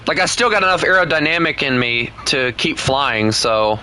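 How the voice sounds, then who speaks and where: A man plays an online flight game with a friend and chats the whole way through, joking and needling his buddy. His voice sits in a middle pitch with a bright, forward edge. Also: expressive, moderate pace, slight background noise. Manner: playful, teasing, jokey. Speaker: gaming streamer. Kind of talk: casual gameplay chat with a friend.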